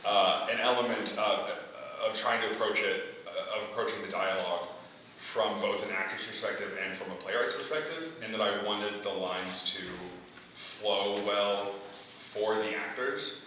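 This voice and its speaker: A young man leading a feedback discussion as a playwright, halting as he searches for words. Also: fairly low pitch, steady tone, bright, forceful tone. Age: young adult. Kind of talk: discussion, answering audience feedback. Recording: echoey hall, distant mic, faint noise.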